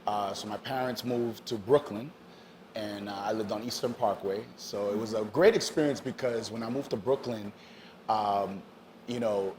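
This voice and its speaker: A Haitian-born man in his fifties speaks with a lowish voice, sharing his story in an easygoing, rambling way. He fills pauses with you-knows and ums and drops into French here and there. The recording has noticeable background noise. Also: darkish tone. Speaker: DJ guest. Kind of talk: casual interview chat.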